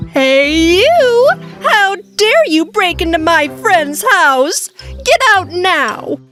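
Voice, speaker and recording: Woman voicing a high-pitched kindly lady elephant. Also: big pitch swings, slow pace, a bit bright, faint music behind, heavily acted. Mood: indignant. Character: big female elephant, kindly helper, easily scared.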